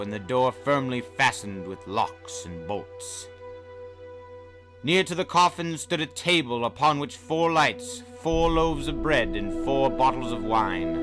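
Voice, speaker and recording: A man hosting and narrating old tales in a dramatic storybook style, with emphatic, deliberate phrasing. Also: mid-range voice. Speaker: podcast host and story reader. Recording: music under the voice. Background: music.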